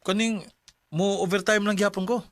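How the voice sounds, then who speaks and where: An actor playing a hesitant, evasive young man in a radio drama, with a Filipino Cebuano accent and halting delivery. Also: high-pitched, fairly even intonation, a bit bright, no echo. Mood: subdued. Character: hesitant young man, evasive boyfriend and son named Jay.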